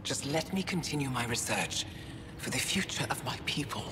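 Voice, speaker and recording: A composed alien researcher makes her case in even, measured sentences. A long reverb trails each line and a noticeable hiss of ambience sits behind her. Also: extremely low pitch. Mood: persuasive. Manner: measured, persuasive. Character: calm alien woman scientist poacher. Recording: reverberant game audio with hiss.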